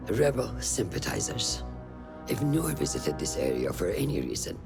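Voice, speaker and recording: A man playing a stern, commanding senior assassin and mentor in a video game, speaking gravely and deliberately. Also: sits low, very animated, loud music behind the voice, full character voice. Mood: grave, instructive. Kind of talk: cinematic game dialogue.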